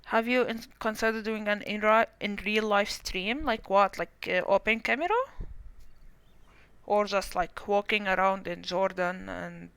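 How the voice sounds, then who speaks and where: A woman's voice: medium pitch, fairly even intonation, measured pace, fairly bright tone, clean tone, no room sound. A young woman streamer chatting casually and rambling, with self-deprecating asides, while playing a game. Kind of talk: casual live-stream commentary.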